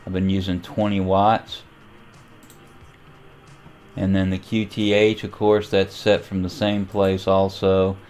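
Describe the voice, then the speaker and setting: A man giving an informal, step-by-step hobbyist walkthrough of software and equipment. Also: low-pitched, completely flat delivery, takes it slowly, long pauses, faint music behind. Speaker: amateur radio hobbyist giving a tutorial. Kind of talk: casual software and radio walkthrough.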